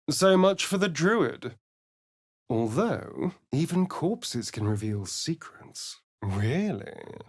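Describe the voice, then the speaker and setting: A man's voice: medium pitch, breathy edge, heavily acted. An actor playing a centuries-old male vampire elf, arch and theatrical in video game lines. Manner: theatrical, arch, relishing words.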